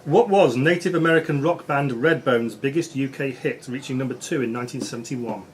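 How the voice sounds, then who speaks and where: A man's voice: medium pitch, fairly quick. A man acting as quiz master, reading out questions at a live quiz in a social club. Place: social club room with background noise. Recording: noisy club room recording.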